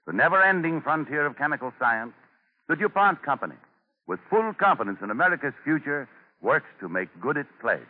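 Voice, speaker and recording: A man reading scripted 1940s radio advertising in an earnest, declamatory announcer's manner. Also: medium pitch. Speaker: commercial announcer. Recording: tinny old 1940s radio broadcast.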